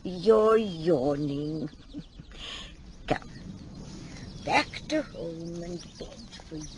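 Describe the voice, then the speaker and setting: An actress playing a tender but stern old woman mentor in an audio drama, measured and hushed, with dramatic pauses. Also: lowish voice. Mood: gently protective. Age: older.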